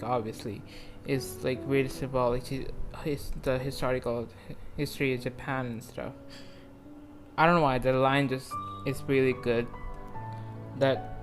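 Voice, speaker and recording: A man doing casual, rambling live reaction commentary on a game, excitable and prone to swearing and saying "like". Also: mid-range voice.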